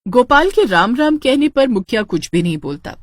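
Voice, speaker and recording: A woman's voice: fairly high pitch, sing-song, moderate pace, dry recording, studio quality. A woman storyteller reading scripted narration for a cartoon tale.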